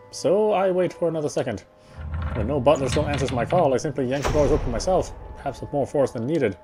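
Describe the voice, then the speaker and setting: A man reading first-person story narration aloud in a theatrical, fussy gentleman's manner. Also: mid-range voice, background noise. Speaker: game player reading aloud.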